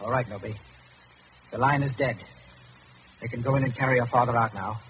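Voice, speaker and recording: An actor playing a cool, crafty Englishman in 1940s radio drama style, persuasive and deliberate. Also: medium pitch, steady tone, fairly bright tone, slight background noise, close to an everyday voice. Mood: smooth, sly. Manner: deliberate, simple coaxing phrases. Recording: dull old radio broadcast recording.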